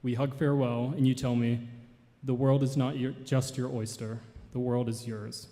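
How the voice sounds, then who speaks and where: A man's voice: medium pitch, level tone, dark tone. Earnest young man giving a eulogy. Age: 20s. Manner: earnest, measured recitation. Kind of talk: memorial tribute and poetry reading. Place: large church hall. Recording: long hall reverb.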